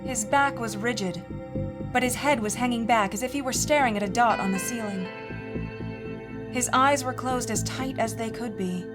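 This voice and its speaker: A woman playing a haunted mother in her forties, telling a first-person horror story in a measured, earnest, confiding way. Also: on the high side, lightly acted. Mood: sober, confiding. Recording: music bed under the voice.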